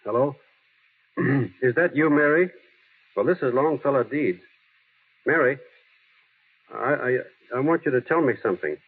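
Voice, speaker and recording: An earnest, plain-spoken small-town man in classic radio drama style. His voice is middling in pitch and a little rough, with simple, halting delivery. It sounds like a narrow, aged broadcast. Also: lively intonation. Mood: hurt, bitter. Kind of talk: 1930s-40s radio drama acting. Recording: muffled, lo-fi old radio recording.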